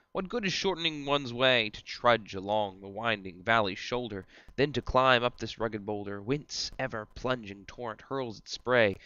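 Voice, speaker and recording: A man plays a boisterous, commanding devil who guides climbers through verse drama. The delivery is theatrical and declamatory, with a bright, forceful tone in a dry recording. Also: on the low side, dry recording, dull audio. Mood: hearty, commanding. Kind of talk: amateur dramatic reading of verse.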